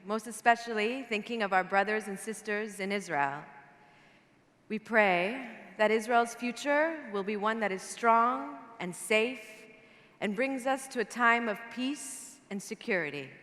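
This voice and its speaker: A woman whose first language is Farsi, a rabbi giving an earnest, measured prepared speech to a conference. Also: a little high, a bit bright. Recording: long reverb of a large hall.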